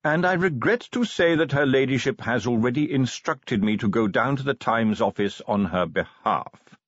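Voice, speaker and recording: A man voicing a tactful, unflappable butler in an audiobook, formal, measured and cautiously polite. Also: medium pitch, lively intonation, a bit bright, no room sound, lo-fi sound, voice pushed up. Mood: formal, apologetic. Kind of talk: audiobook character voice.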